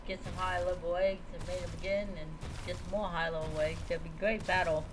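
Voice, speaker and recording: A video game streamer giving casual, rambling commentary, as if thinking out loud while playing. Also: medium pitch, brisk, over music. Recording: noisy, steady background ambience.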